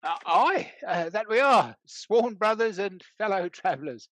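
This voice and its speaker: A man's voice: high voice, expressive, unhurried, fairly bright tone. A man playing a good-natured, bumbling country knight in a period comedy, stammering and blustering along. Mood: bashful, friendly. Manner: hesitant stammering, rustic bluster. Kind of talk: scripted stage comedy acting.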